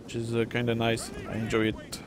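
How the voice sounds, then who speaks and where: A man's voice: mid-range voice, completely flat delivery, brisk, music in the background. A middle-aged francophone man with a French Canadian accent, rambling casually with many fillers while commenting on a strategy game. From Quebec, Canada. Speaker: gameplay streamer.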